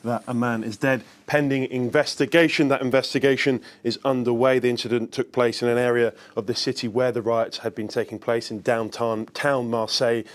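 A man delivers a live newspaper review for a news broadcast. His voice sits at a middle pitch, and he talks viewers through headlines as a presenter would. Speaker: press reviewer on a TV news show. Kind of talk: live press review commentary.

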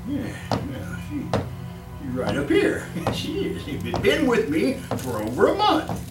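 Sinister old murderer, wildly swinging pitch, slow delivery, chuckling mock courtesy, radio horror, distant roomy stage. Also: male voice, wildly swooping pitch, over music, full character voice. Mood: sly, placating. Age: older. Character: gleeful, sinister old male serial killer. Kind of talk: live old-time radio horror acting. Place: live stage performance.

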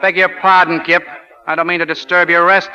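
A man's voice: high voice, level tone, fairly quick, crowd noise, lightly acted. A man playing a commanding, wry American college football coach with clipped, bossy delivery, in 1940s radio drama style. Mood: gruff, wry. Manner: clipped, bossy, wryly sarcastic. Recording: dull lo-fi 1940 radio broadcast.

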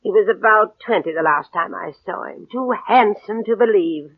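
An actress playing a vain, demanding old invalid aunt in 1940s radio drama, querulous, condescending and coquettish. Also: female voice, mid-range voice, moderate pace, dry recording, narrow, tinny audio. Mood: vain, belittling. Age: older. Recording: husky band-limited old radio recording.

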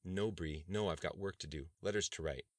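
A man's voice: low-pitched, brisk, dry recording, clean studio sound. A man reading an audiobook voices a worried, middle-aged government budget expert.

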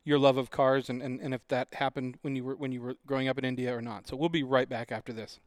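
A man's voice: mid-range voice, flat delivery, fast, no room sound, studio quality. A man in his forties hosting a podcast in a casual, joking chat style. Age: 40s. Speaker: podcast host.

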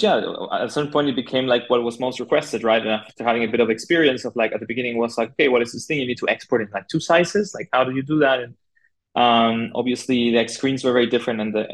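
A man from Argentina speaking as a podcast guest in a casual, conversational way, informal and halting, with plenty of filler words. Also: medium pitch, fairly even intonation. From Argentina (Buenos Aires). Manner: informal, halting, peppered with 'like' and 'you know'. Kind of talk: casual conversational interview, telling own career story.

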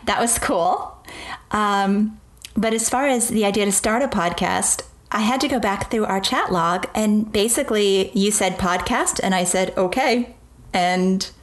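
A woman's voice: medium pitch, unhurried. A woman from the East Coast of the United States, co-hosting a casual podcast and reading out listener messages in a friendly way. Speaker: podcast co-host. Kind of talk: casual chat.

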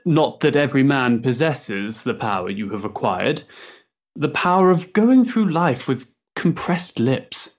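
An actor playing a restless, sensitive young Victorian gentleman in a stage play, hesitant and politely mannered. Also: medium pitch, sing-song, measured pace, husky, highs cut off. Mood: uneasy. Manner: hesitant, polite, mannered upper-class diction. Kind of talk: period stage-play acting.

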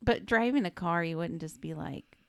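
Woman cohost, laid back movie chat, kinda fry-voiced, dry mic. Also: fairly low pitch, normal speed, dry recording. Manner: laid-back, chimes in with short agreeing remarks and laughs. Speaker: podcast co-host. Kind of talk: casual movie recap chat.

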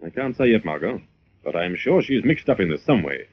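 An actor playing a composed, shrewd gentleman detective, reasoning briskly and with assurance in old radio drama style. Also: lowish voice, very animated. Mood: composed, probing. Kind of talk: 1940s radio drama acting. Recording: muffled, noisy vintage radio recording.

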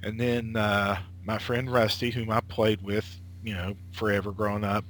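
A man's voice: on the low side, little pitch movement, moderate pace, a bit bright, poor audio. A man in his late thirties, a guest chatting casually over an internet call, rambling with lots of filler words and restarts. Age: late 30s. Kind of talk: casual conversation.

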